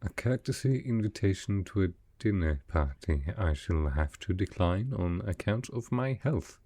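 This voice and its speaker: A man playing a sickly, proud, melancholy aristocrat in a roleplay audio drama, speaking softly in a formal, measured, dryly reserved way. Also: animated, no room sound. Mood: dry, resigned. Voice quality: low, soft, with a cough.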